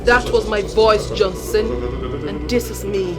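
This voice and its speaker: A woman's voice: mid-range voice, fairly even intonation, a little slow, loud music behind the voice, natural voice. An actress playing the vengeful ghost of a dead woman in a film drama, with a Nigerian accent and a stern, commanding delivery. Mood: cold, menacing.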